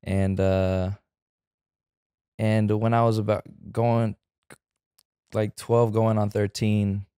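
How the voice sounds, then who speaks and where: A man in his thirties from the San Francisco Bay Area, chatting as a guest actor in a relaxed interview, full of fillers and restarts. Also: lowish voice, slow, long pauses, clean tone, no echo, studio quality. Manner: hesitant, many fillers and restarts. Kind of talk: casual interview chat. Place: living room with sofa. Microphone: studio mic on arm.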